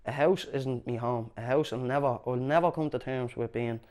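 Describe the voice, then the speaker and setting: A man's voice: medium pitch, moderate pace, noisy background. A man with a Dublin accent speaking earnestly in an interview about his home.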